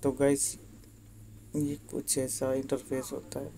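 A man narrates a casual step-by-step tutorial in a very monotone delivery. His voice is dark and creaky, and he speaks straight to the viewer in a repetitive, instructional way. There is some background noise on the recording. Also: medium pitch, slow pace. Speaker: tutorial video host. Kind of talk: casual how-to narration over screen recording.

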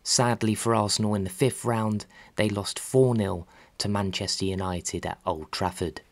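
Low-pitched man narrating football history. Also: fairly even intonation, moderate pace. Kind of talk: scripted documentary narration.

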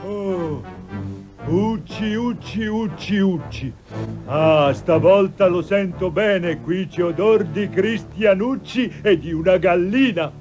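A man's voice: high voice, slow pace, voice pushed up. A man voicing a huge, greedy man-eating giant in a cartoon fairy tale, imperious and chanting. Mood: hungry, suspicious. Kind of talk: cartoon storybook character voice. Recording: dull lo-fi TV cartoon audio, faint music.

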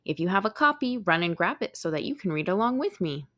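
A woman hosting a read-aloud for children, speaking to young listeners in an expressive, animated way. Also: mid-range voice, fast talker. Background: music.

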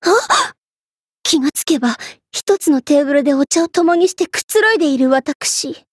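Haughty young Egyptian queen for an anime game, played by a woman: extremely high voice, theatrical commands, flustered pride, dry studio sound. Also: normal speed, no room sound, lightly acted. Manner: imperious, theatrical, tsundere. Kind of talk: Japanese anime game character voice acting.

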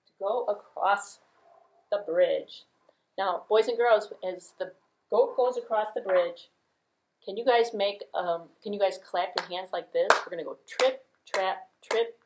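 A woman's voice: mid-range voice, background noise. A middle-aged woman telling a puppet-show story, talking conversationally to children. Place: small room indoors.

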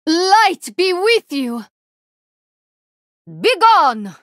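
A woman voicing a solemn, devout alien priestess of the light for a video game, formal and measured in her phrasing. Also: very high voice, sing-song, a bit bright, dry recording, studio quality, heavily acted. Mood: commanding, fierce. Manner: formal, measured, deliberate phrasing without contractions. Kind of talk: video game character voice lines.

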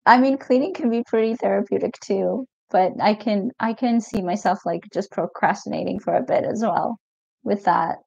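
A woman's voice: medium pitch, normal speed, vocal fry, slightly rough, no room sound. Middle-aged woman, Ethiopian-born coach, easygoing live-stream host, lots of fillers; lo-fi, dry sound. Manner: relaxed, chatty, reads viewer comments aloud with many fillers. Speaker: mental health coach. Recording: dull lo-fi stream audio, dry.

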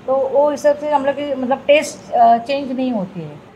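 Older woman restaurateur, homely and plain-spoken, fairly high voice, faint hum of the room. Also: normal speed, mellow, poor audio. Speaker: restaurant owner. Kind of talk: casual interview answers.

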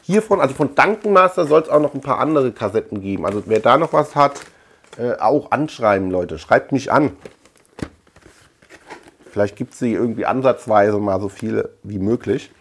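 A man's voice: mid-range voice, lively intonation, normal speed, a bit bright, a little hiss or hum. A man in his thirties talking casually in a chatty, digressive way, thinking aloud as he hosts a collector vlog. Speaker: host of a collector video channel. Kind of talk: casual rambling vlog talk. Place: small indoor room lined with shelves.

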